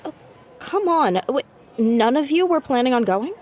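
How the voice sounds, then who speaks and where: High, animated, stubborn teenage girl. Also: moderate pace, a faint crowd. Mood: defensive. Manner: emphatic, argumentative. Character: fourteen-year-old girl, nosy, earnest, stubborn small-town student. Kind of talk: naturalistic audio drama acting.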